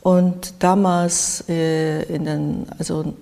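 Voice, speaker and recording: An older woman from Japan with a Japanese accent, giving reflective interview answers haltingly as she searches for words. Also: deep voice, level tone, gravelly, warm tone. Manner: halting, searching for words in non-native phrasing. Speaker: interviewed artist. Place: art studio in Berlin, indoors. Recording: reverberant, echoey room.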